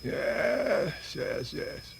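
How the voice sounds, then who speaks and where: A man's voice: very high-pitched, big pitch swings, gravelly, noisy background, full character voice. A man playing a spirited, very old American folk storyteller born a slave, dramatic and folksy in a radio theatre monologue. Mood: folksy, rambling. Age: older. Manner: dramatic drawn-out delivery, folksy hesitations and drawl.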